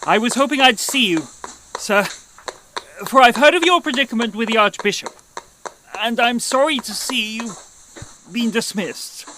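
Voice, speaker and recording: An actor playing an eager, courteous court acquaintance in a period audio drama, earnest and polite in formal old-fashioned speech. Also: male voice, extremely high pitch, animated, moderate pace, pauses often, noisy background. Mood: sympathetic, advising. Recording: loud music behind the voice.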